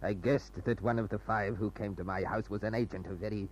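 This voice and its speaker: An actor playing a sinister, doddering foreign spy in an old radio thriller, outwardly polite, fussy and given to stammering and repeating himself. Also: male voice, lowish voice, fairly even intonation, faint music behind, lightly acted. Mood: amused, sly. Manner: stammering, repetitive, fussy, drawn-out phrasing. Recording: muffled, noisy old radio recording with hum.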